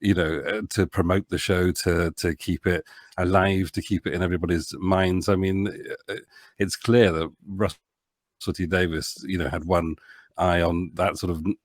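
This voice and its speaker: A man giving his opinions in a casual podcast discussion, feeling his way haltingly through his explanations. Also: deep voice, level tone, dry recording, clean studio sound. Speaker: podcast panellist.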